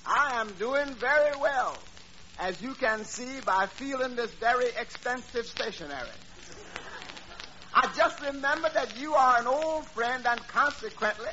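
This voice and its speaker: Sly tavern employee, bright high voice, dry sarcasm, 1940s radio comedy, dull old recording. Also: male voice, slight background noise, heavily acted. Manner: dry, sarcastic deadpan comebacks. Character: wry tavern employee, slyly puncturing his boss.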